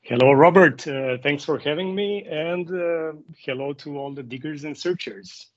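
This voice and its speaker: A man's voice: on the high side, normal speed, dry recording. A middle-aged man from Serbia, explaining conversationally as a guest, with hesitant phrasing. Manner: hesitant, frequent fillers and self-corrections. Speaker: interview guest, puzzle author. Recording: dry, slightly dull lo-fi audio.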